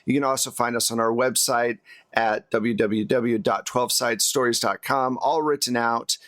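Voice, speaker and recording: A man's voice: mid-range voice, steady tone, moderate pace, fairly bright tone, dry recording. A man who plays on a tabletop game show, chatting casually and giving show announcements. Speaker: show regular and player.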